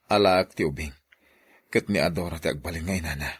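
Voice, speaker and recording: A man's voice: low-pitched, a little fast, breathy edge. A man playing a commanding, secretive husband in a radio drama, with an Ilocano Filipino accent. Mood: urgent, scheming. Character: secretive husband Alex, commanding, scheming over his hidden child.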